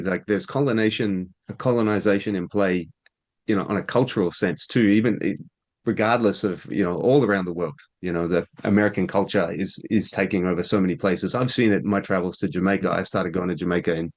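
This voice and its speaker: A man hosting an interview, asking relaxed, rambling questions full of fillers. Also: deep voice, fairly quick. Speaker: interviewer, radio show host. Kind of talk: casual conversational interview.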